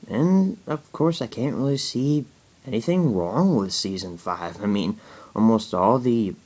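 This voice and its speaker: A young man talks casually at home, answering questions from his viewers. His voice sits on the low side, and he stutters, often breaking off and restarting his words between fillers. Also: animated, unhurried, a little mellow. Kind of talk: casual Q&A talk to camera.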